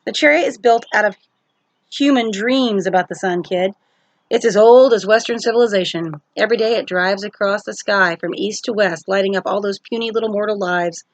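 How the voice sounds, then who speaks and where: A woman's voice: mid-range voice, brisk, no echo, close to an everyday voice. Woman voicing a breezy, cocky teenage sun god. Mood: cheerful, explaining.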